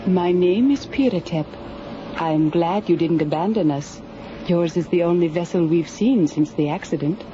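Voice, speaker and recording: Gracious low-voiced alien woman, played by an actress. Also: mellow, slight background noise, close to an everyday voice. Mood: gracious, composed. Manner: formal, courteous, measured. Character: gracious, composed female alien spacefarer. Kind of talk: radio drama acting. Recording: slightly dull old radio drama sound.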